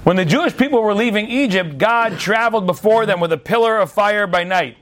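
Man, high pitched, Torah teacher, lively storyteller, talks straight to the class with asides. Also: a little fast, slight background noise. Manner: animated, repeats words mid-sentence; chatty asides to listeners. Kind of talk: storytelling sermon-style lesson.

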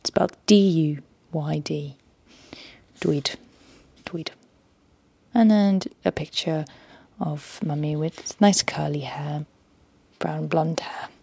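A woman talking reflectively and haltingly in an intimate unscripted documentary. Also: low-pitched, fairly even intonation, gravelly, dark tone, breathy edge, dry recording.

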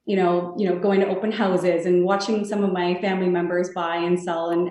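A woman's voice: mid-range voice, a little mellow. Earnest woman in an echoey room. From Canada (Toronto). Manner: earnest, halting, rambling answers. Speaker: real estate sales guest. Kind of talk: podcast interview answers. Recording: very echoey room, distant mic.

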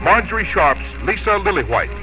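A man reading scripted announcements and advertising as a 1950s radio announcer, with a polished, measured sales delivery. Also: high-pitched, big pitch swings. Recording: dull vintage radio broadcast, music behind. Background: music.

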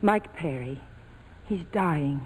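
A woman's voice: low voice, lively intonation, slow, slightly rough, full character voice, rough recording. An actress playing a scheming, world-weary young woman in 1940s radio drama style, brittle and dryly sarcastic. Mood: bargaining.

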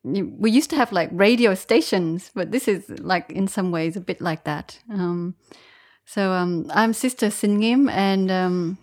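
A woman's voice: mid-range voice, a little slow. A woman who was born in Vietnam and grew up in Australia, a Buddhist nun telling her own story reflectively as a guest, often searching for words. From born in Vietnam, grew up in Australia from age eight. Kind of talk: reflective personal storytelling in an interview.

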